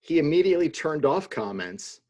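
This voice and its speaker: A man with a husky voice and lively, swinging pitch chats over a video call. He is wry and teasing and laughs often. His co-hosting has a loose, joking feel. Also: moderate pace. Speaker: podcast co-host. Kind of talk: casual chat on a video call.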